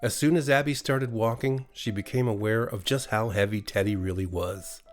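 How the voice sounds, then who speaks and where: A man's voice: lowish voice, steady tone, moderate pace, soft background music. A man with an American accent narrating a scripted bedtime horror story as a storyteller. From USA.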